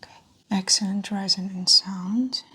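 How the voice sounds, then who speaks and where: A woman in an ASMR medical roleplay, speaking in a soft, breathy whisper and calmly explaining each step as the examiner. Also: mid-range voice, a little slow, noisy background.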